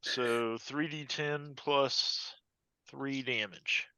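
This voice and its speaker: A man's voice: mid-range voice, steady tone, very slow, bright, forceful tone, clean tone, no room sound. A man playing a tabletop game, casually reading out and adding up dice rolls over muffled online-call audio.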